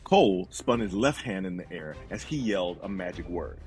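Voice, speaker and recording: A man's voice: low-pitched, animated, moderate pace, a little mellow, soft background music. A man giving dramatic, scripted narration for a fantasy audio drama.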